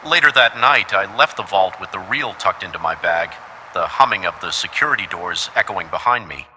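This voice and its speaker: A man's voice: sits low, lively intonation, normal speed, pushed and bright, slight background noise. A man reading a scripted first-person horror story as its narrator.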